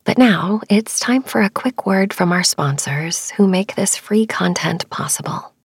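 A woman hosting a sleep meditation podcast, speaking softly and gently, with some breathiness. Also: medium pitch, expressive, unhurried, slightly rough, no echo, studio quality. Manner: gentle, reassuring. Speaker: podcast host. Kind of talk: soothing sleep meditation narration.

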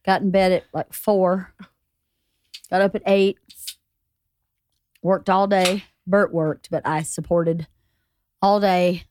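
A hoarse woman hosting a casual podcast chat, teasing and asking quick questions. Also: lowish voice, little pitch movement, a little mellow, no room sound. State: hoarse, partly lost voice. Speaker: podcast host.

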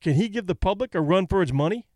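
Brusque, loud promoter type, pushed up high and barking, put on by a male narrator in a dry booth. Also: animated, fast, dry recording. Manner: snapping, blustering. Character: loud gruff male fight promoter, impatient.

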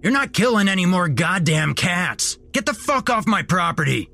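A man's voice: high-pitched, big pitch swings, normal speed, creaky, sharp tone, slight background noise, pitched above the performer's own voice, heavily acted. A man voicing an overweight, hot-tempered, foul-mouthed store manager who barks angrily in a story. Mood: furious. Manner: angry barking.

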